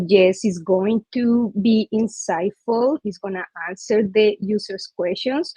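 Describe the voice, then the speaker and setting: A woman's voice: mid-range voice, dark tone, slightly rough, dry recording. A woman from Colombia with a Colombian Spanish accent, giving a friendly presentation on a video call with many fillers. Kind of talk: online user group presentation. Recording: dull, dry video call audio.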